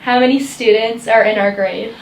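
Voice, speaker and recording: A young woman casually hosting a trivia quiz, excitable and quick to exclaim, in a noisy, echoing space. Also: a little high, music in the background. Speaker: quiz host in a student video. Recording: roomy echo with loud background noise.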